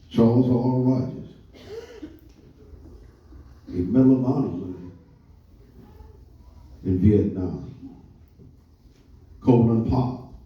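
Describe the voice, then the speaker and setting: An older man with an American accent giving an oratorical public speech, with deliberate pauses and emphasis. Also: medium pitch. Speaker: speaker addressing a live audience. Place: large echoey room, speaking before an audience. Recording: echoey hall, distant muffled mic.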